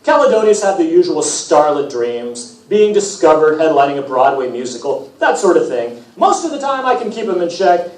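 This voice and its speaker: Man playing a vain, breezy god, swooping pitch. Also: very animated, moderate pace, darkish tone. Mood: breezy, self-important. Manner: slangy, self-important, breezy. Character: vain, breezy, demanding Greek god of music. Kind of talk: storybook character read aloud. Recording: roomy, distant, noisy event recording.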